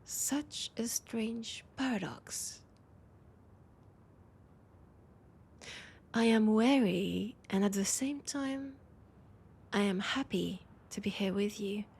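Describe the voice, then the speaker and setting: A woman's voice: a little mellow, slight background noise. A woman with a French accent playing a poised, teasing vampire and wary old rival in an intimate audio roleplay, slipping into near-whispers. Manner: slow, low-key teasing with breathy, near-whispered phrases.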